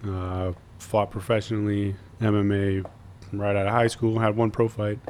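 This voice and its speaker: A man's voice: fairly low pitch, little pitch movement, moderate pace, a little mellow, slight background noise. A young man from Florida, a podcast guest talking easily, with many uhs and restarts. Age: young adult. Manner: easygoing, hesitant, many uhs. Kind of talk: casual interview chat.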